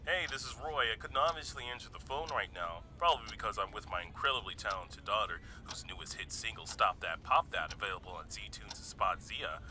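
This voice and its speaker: A man's voice: on the low side, lively intonation, a little fast, hardly any pauses, background noise, lightly acted. A man voicing a boastful, proud father recording a voicemail greeting in a fan-made anime roleplay. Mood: proud, promotional.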